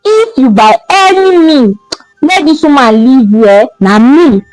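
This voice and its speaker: A woman's voice: high voice, animated, a little slow, darkish tone, no echo, faint music behind, rough recording. A woman with a Nigerian accent voicing an exasperated young man who scolds his friend with emphatic questions and interjections in a folktale.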